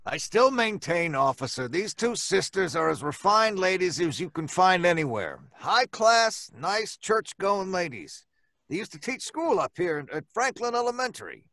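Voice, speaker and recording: Fretful janitor type in an old radio thriller, high voice, stammery n defensive, dry sound. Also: male voice, big pitch swings, normal speed, sharp tone, dry recording, full character voice. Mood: reluctant, protesting. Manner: hesitant, stammering, folksy, defensive. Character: reluctant, fretful building superintendent, working-class man. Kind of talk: old-time radio suspense acting.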